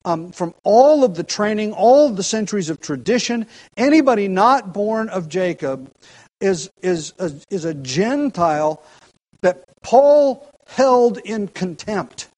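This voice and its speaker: Male preacher, somewhat high-pitched, earnest teaching tone with emphatic rises. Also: expressive, measured pace, darkish tone. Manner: earnest, with frequent restarts and rising emphasis. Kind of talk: expository sermon.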